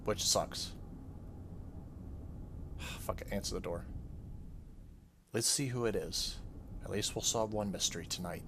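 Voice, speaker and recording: A man's voice: on the low side, a little slow, long pauses, background noise. A man hosting a gaming video, reading the game's text aloud and breaking off into casual asides. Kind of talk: playthrough commentary mixed with reading game text aloud. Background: game music behind the voice.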